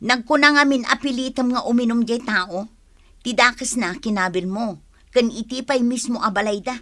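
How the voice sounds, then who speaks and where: A woman playing an outspoken, wronged village wife in a radio drama, with an expressive, indignant manner. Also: on the high side, normal speed, natural voice. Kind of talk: Ilocano radio drama acting.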